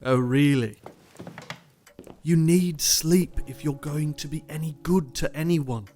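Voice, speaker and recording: An actor playing a polite, firm young gentleman who is gently insistent. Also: fairly high pitch, slow. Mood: concerned, firm. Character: proper, concerned young English gentleman.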